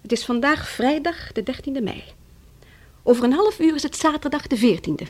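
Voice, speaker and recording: An actress playing a defiant, scornful wife in a Dutch radio drama, with theatrical delivery. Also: female voice, sits high, lively intonation, normal speed, a little mellow. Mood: hushed menace. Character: defiant, mocking wife sparring with her husband.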